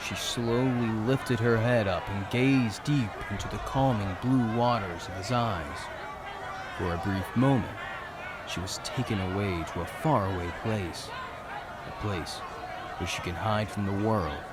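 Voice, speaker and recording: A man's voice: lowish voice, measured pace, over a noisy crowd, very noisy. A man narrating a scripted fantasy story in a dramatic storytelling style.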